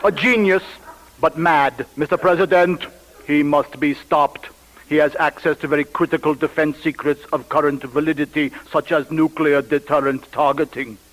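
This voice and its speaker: An actor playing a grave, deferential presidential adviser in a radio drama, deliberate and ominous. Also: male voice, on the high side, steady tone, fairly bright tone, lightly acted, poor audio.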